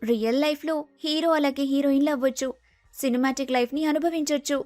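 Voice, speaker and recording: An excitable, vain young bride-to-be played by a woman in a storybook story. Her voice is very high, insistent and impatient, recorded dry with soft music underneath. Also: fast talker, no echo, close to an everyday voice. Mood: enthusiastic. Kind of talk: acted storybook dialogue.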